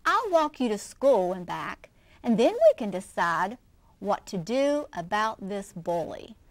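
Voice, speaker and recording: A woman voicing a gentle, loving mother raccoon from a storybook, soft and soothing. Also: mid-range voice, very animated, measured pace, no echo.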